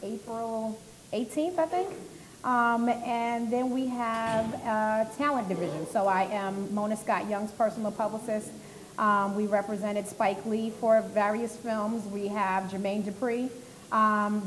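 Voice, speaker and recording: A woman's voice: on the high side, fairly even intonation, a little slow, slight background noise, rough recording. A woman introducing herself on a panel in an upbeat, chatty way, with frequent ums. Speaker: panelist, agency founder.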